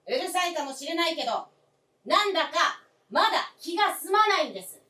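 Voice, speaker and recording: A woman's voice: very high voice, very animated, fairly quick, bright, forceful tone, recorded from a distance, exaggerated voice. A woman voicing an earnest, persistent adult son in a radio drama, with polite, formal insistence. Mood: pleading, insistent. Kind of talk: live radio drama acting. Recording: roomy stage recording from afar.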